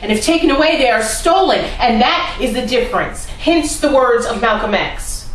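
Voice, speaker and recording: A woman performing a dramatic monologue as a defiant, oppressed defendant, speaking deliberately and solemnly with weighted pauses. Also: a little high, lively intonation, close to an everyday voice. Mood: grave conviction. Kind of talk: dramatic courtroom monologue reading. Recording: roomy, distant mic with faint noise.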